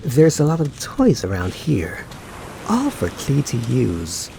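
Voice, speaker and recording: A man playing a suave, teasing, laid-back young knight in an ASMR roleplay, talking to the listener in an unhurried, musing way. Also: mid-range voice, huge pitch swings, creaky, dark tone, slight background noise, full character voice. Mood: musing, fond.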